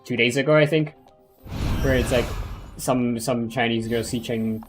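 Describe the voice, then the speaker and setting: A man's voice: mid-range voice, steady tone, a little slow, music in the background. A man streaming a game, chatting casually and rambling with lots of fillers. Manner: rambling, many fillers and restarts. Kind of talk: casual gaming commentary.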